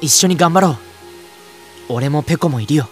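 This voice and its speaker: A man's voice: fairly high pitch, normal speed, far from the mic, faint music behind, lightly acted. A man playing an earnest, kind young boy who narrates his own adventure in an audio drama. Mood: gentle, determined. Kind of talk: audio drama inner monologue.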